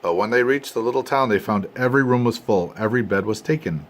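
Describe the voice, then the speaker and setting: An older man, a grandfather, reads a storybook aloud to his family expressively, with dramatic pauses and emphasis. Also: mid-range voice. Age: 60s or older. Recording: noisy amateur home recording.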